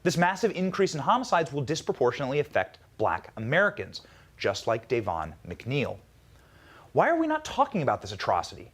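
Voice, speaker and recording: A man delivering a scripted political speech in an emphatic manner with dramatic pauses. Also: medium pitch, wildly swooping pitch, a bit bright, husky.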